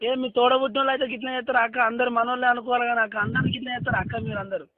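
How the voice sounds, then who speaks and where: A very high-pitched man with a rustic Telangana Telugu accent pleads as a phone caller, insistent and repetitive. He talks quickly and informally, and the line is dull and lo-fi. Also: level tone, no room sound. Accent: rural Telangana Telugu. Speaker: customer care caller. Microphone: telephone line.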